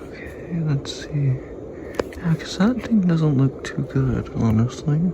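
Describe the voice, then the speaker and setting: Muttering, slow-paced man with a gravelly creak. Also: a little high, expressive, noisy background. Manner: muttering to himself, musing, casually sweary. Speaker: hobbyist radio repairer filming his work. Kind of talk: casual thinking-aloud repair commentary.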